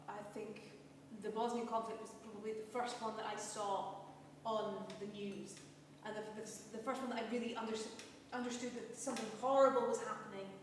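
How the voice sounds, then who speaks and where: This is a Scottish woman speaking on a panel, heard through a very echoey hall. She is picked up from a distance, with faint noise behind her. She talks earnestly and from the heart, often doubling words as she searches for them. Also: on the high side. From Scotland. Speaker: panelist, cast member of a stage production. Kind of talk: panel discussion.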